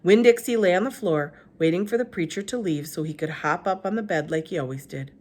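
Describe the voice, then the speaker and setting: A woman, a teacher, reading a children's novel aloud with expressive narration. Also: brisk. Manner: expressive, lively pitch. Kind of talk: storybook read-aloud narration.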